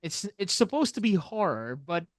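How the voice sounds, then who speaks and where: A man co-hosting a casual podcast, explaining things haltingly and often circling back to repeat phrases. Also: sits high, very animated, dry recording, clean studio sound. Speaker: podcast co-host.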